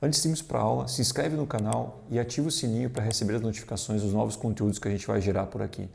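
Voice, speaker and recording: Fast-talking gravelly Brazilian man. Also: lowish voice, steady tone, a little mellow, faint music behind. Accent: Brazilian Portuguese. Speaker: tech manager presenting the course. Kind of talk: scripted course introduction.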